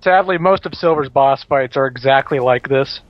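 A man's voice: medium pitch, moderate pace, no echo. A man giving casual, amused commentary on a video game in a dull, dry recording.

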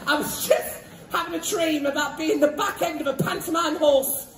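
Man as a shrill, brash British pantomime mum. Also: normal speed. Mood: indignant, cheeky. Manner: exclamatory, bantering with the audience. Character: brash, hard-up comic mother in a garish frock. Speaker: pantomime dame. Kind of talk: live stage pantomime comedy. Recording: echoey theatre hall, faint backing music.

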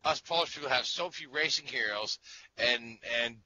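A man's voice: medium pitch, normal speed, pushed and bright. A man guesting on a podcast, talking in an enthusiastic, joking way. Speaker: motorsport writer, guest. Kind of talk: casual chat. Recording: dull lo-fi sound.

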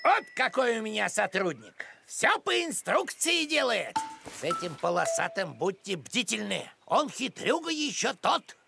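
A high, gravelly older man's voice for a cartoon village postman. He is officious, crafty and pompous, with wildly swooping intonation. Music plays behind him. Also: big pitch swings, measured pace, full character voice. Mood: proud, officious. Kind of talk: animated cartoon acting.

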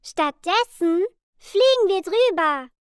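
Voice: very high-pitched, animated, slow pace, no echo, clean studio sound, exaggerated voice. A performer voicing a cheerful, caring little girl in a children's educational cartoon, explaining things simply. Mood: reassuring, instructive. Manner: simple, clearly explained sentences for small children. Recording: children's music behind the voice.

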